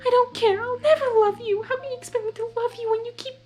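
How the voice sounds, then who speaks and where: A woman voicing a stubborn, defiant young female pony held captive, shrill and indignant, in a storybook reading. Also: steady tone, fast talker, a little mellow, soft background music. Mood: bitterly indignant.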